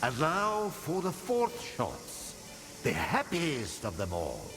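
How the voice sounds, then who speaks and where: An actor playing a boozy, sardonic older scientist in a video game, theatrical and philosophising, with a husky, gravelly voice. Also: male voice, mid-range voice, huge pitch swings, takes it slowly, fairly bright tone, big-room sound, soft background music, full character voice. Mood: sardonic, rambling. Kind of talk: video game cutscene acting.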